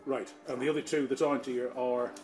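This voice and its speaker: A man's voice: mid-range voice, little pitch movement, brisk. Middle-aged male interviewer with music behind. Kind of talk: casual, chatty interviewing.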